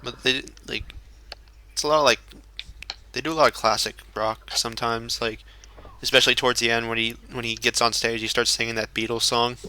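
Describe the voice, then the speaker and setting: Young male panelist, sharp bright voice, casual chat, faint noise and clicks behind. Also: medium pitch, level tone, a little fast. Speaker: podcast panelist. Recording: noisy amateur internet recording with clicks.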